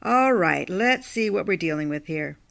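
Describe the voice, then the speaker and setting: A woman's voice: fairly low pitch, lively intonation, normal speed, vocal fry, fairly bright tone. A woman playing a blunt, independent blue-collar plumber with a casual, dry manner in an audio drama.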